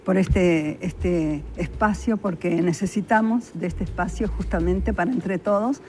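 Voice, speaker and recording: A woman's voice: on the low side, unhurried, warm tone, slight background noise. A woman with a Uruguayan accent explaining things conversationally as an expert guest in an interview. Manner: conversational, illustrates points with imagined quotes. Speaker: public health official and microbiologist, interview guest. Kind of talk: spontaneous explanatory interview talk.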